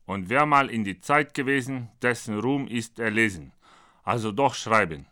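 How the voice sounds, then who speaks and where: Man putting on a blunt pidgin-German speaker, bright and forceful. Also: mid-range voice, animated, normal speed. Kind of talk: comic monologue read from his own book.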